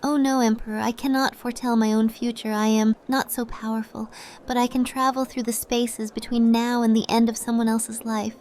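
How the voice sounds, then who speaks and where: A woman playing a sly, composed sorceress in a fantasy audio drama, measured, mysterious and coy. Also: on the high side, level tone, a little mellow, a little hiss or hum, natural voice.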